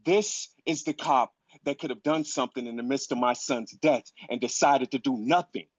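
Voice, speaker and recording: A man's voice: mid-range voice, normal speed, dry recording, highs cut off, natural voice. An actor playing a grieving, furious father in a dramatic script reading, speaking emphatically and incredulously, repeating phrases for weight. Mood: accusing, incredulous.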